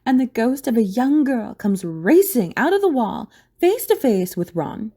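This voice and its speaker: A woman's voice: on the high side, very animated, measured pace, mellow, breathy edge. A woman reading scripted, dramatic story narration for an audio drama.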